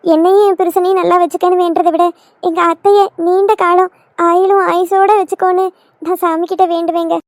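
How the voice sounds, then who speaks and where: A woman's voice: very high voice, little pitch movement, brisk. A woman playing an affectionate young daughter-in-law in a family skit, gushing and emotional, with a southern Tamil Nadu accent. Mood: devoted, gushing.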